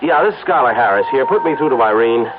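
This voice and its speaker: Actor as a harried American husband, swooping old-radio delivery. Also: male voice, mid-range voice, expressive, fast, natural voice. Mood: urgent, businesslike. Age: middle-aged. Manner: flustered, stammering, swooping emphasis. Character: harried, defensive ad executive husband, obsessive. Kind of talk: old-time radio drama acting. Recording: thin, noisy phone-like old radio broadcast.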